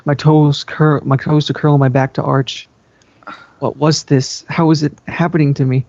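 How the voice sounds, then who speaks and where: A man reads fan fiction aloud in an amateur dramatic style. His voice is fairly high for a male and gravelly, with little melodic movement. The recording is dull and roomy. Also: flat delivery, normal speed, a little mellow. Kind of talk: amateur dramatic story reading.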